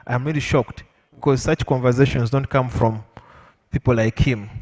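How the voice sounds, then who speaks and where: A man with a Ugandan accent giving an impromptu answer as a panelist, measured and emphatic with repetition, his voice a little weak from illness. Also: mid-range voice, steady tone, darkish tone. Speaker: panelist at a public lecture. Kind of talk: impromptu public speech to an audience. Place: lecture hall with an audience. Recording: echoey hall with long reverb.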